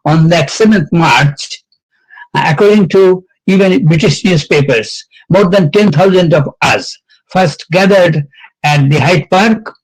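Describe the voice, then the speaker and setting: An older man recounts the past at an event in a slow, unhurried delivery. His voice sits a bit high for a man, and he halts often on fillers and restarts his sentences as he gathers his thoughts. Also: low-quality recording. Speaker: event speaker. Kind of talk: reminiscing speech.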